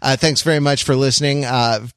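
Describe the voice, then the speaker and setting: A man hosting a podcast in casual conversation, talking in a halting, digressive way as he thinks aloud. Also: mid-range voice, fairly even intonation, fairly bright tone, smooth, no echo, studio quality. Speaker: podcast host.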